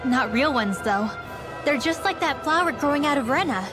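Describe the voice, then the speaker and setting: High-voiced earnest young mage girl. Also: expressive, moderate pace, over music. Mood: thoughtful. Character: earnest teenage girl mage sensing a great spirit. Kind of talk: video game cutscene acting.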